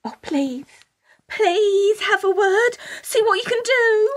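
A woman's voice: very high voice, expressive, slow, a bit bright, full character voice. An actress in a radio play voicing an eager, pushy female fan, theatrical and pleading.

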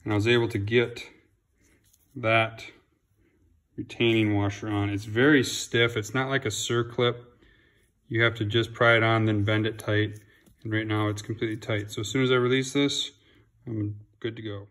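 A low-voiced man talks through a repair in a relaxed, plain way, with the odd um and restart. His pitch barely moves. He sounds close to the phone camera, as if working over a garage bench. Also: normal speed. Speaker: home mechanic. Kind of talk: casual how-to narration. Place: home garage workshop.

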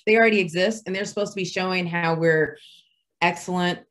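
A woman presenter moderating an online roundtable, speaking in a measured, appreciative way over a video call. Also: fairly low pitch, fairly even intonation. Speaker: webinar presenter.

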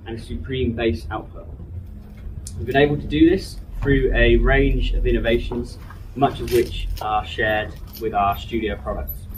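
A man presents products in a medium-pitched voice with a measured, hesitant delivery. He is heard from some distance away. Clear ambient noise of an indoor event sits behind him. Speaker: company presenter. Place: indoor trade show demo room. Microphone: camera some distance away. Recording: distant mic, noisy event hall. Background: clear room ambience noise.

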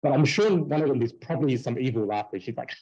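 Brief: husky male researcher, casual conference Q&A on a headset. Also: medium pitch, mellow, rough recording. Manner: casual, many fillers and quick yeps. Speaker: researcher presenting a talk. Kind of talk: conference talk and Q&A. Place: indoors, small room. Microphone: headset on video call.

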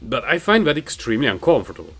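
A man's voice: mid-range voice, big pitch swings, fast talker, a bit bright, husky. A man with a non-native English accent chatting casually while playing a game on his couch, rambling and laughing often, into a mic in front of him. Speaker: gaming channel host. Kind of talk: casual gameplay commentary. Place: home, on a couch. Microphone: microphone raised in front of him.

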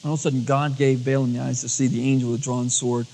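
A man's voice: medium pitch, little pitch movement, quick pace, creaky, dark tone, a little hiss or hum. A man preaching a storytelling sermon as a pastor, acting out dialogue in everyday colloquial words. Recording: long hall reverb, cassette tape transfer.

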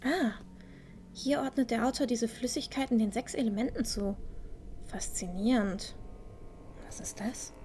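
A woman's voice: fairly high pitch, measured pace, pauses often, a little mellow, a little hiss or hum, close to an everyday voice. A woman playing a curious, squeamish young apprentice in a fan-made fantasy radio play, thinking aloud to herself. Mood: curious, musing. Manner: thinking aloud, with gasps and sounds of disgust.

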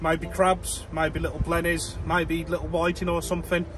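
A man's voice: a little high, little pitch movement, normal speed, noisy background. A man hosting an angling vlog, talking in a casual, colloquial, easygoing way. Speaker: angling vlogger and host. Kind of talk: casual vlog chat and narration.

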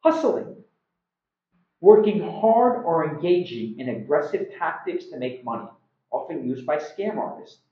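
A middle-aged man teaching English informally to camera in an animated, emphatic manner, recorded indoors at home. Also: fairly high pitch, measured pace. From Iran. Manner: animated, emphatic, with acted-out examples. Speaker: English teacher, video host. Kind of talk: informal slang lesson to camera. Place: small room at home, indoors. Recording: roomy, slightly dull sound.

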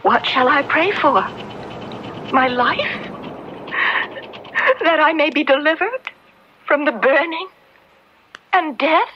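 A woman's voice: high voice, slow, pauses often, a little hiss or hum. A woman playing a frail yet defiant young maiden accused of witchcraft, formal and earnest, in a radio drama. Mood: anguished, pleading. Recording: dull old radio drama recording.

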